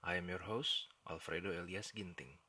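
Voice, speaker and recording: A man's voice: sits low, fairly even intonation, normal speed, pushed and bright, slight background noise. A man with an Indonesian accent, whose first language is Indonesian, hosting a solo history podcast in an earnest, explanatory way. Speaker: podcast host.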